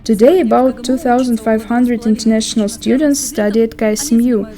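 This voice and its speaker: A woman narrates a promotional script in a calm, measured and formal manner. Her voice sits a little high but sounds soft and mellow rather than bright. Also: faint music behind. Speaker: voice-over narrator.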